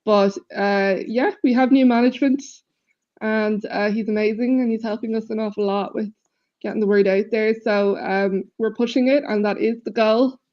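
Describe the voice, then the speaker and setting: A woman with a deep voice, a band member chatting casually in an interview with plenty of fillers, heard over a video call. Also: fairly even intonation, normal speed. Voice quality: self-described deep voice. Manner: chatty, laughs easily, many fillers. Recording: slightly dull lo-fi audio.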